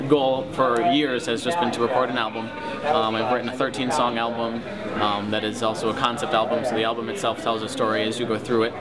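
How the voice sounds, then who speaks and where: A man's voice: medium pitch, level tone, fairly quick. A young man giving casual interview answers with many ums, laughing easily. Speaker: interviewee, singer and language creator. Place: busy convention hotel. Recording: loud crowd noise. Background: loud crowd chatter.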